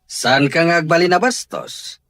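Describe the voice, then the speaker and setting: A man's voice: fairly high pitch, expressive, normal speed, a bit bright, dry recording. A man playing a stern, insistent father in an audio drama, firm and commanding, with an Ilocano Filipino accent.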